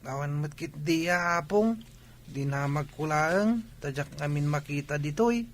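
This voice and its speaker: A man's voice: on the high side, measured pace, slight background noise. A man with a Filipino accent playing a cheeky, inquisitive village boy in a comedy radio drama.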